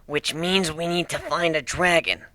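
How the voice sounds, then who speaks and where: A brooding male griffin captain, played by a man in a fantasy story. He is stubborn and earnest, grumbling in a bright voice, recorded close and dry. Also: on the high side, fairly even intonation, a little slow, no echo, voice pushed up. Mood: resolute.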